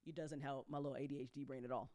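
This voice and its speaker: A woman's voice: a bass voice, fairly even intonation, racing, warm tone, no room sound, clean studio sound. A woman hosting a casual, chatty recap for her community, effusive and freely sweary, often repeating phrases for emphasis. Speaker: host, audio drama creator.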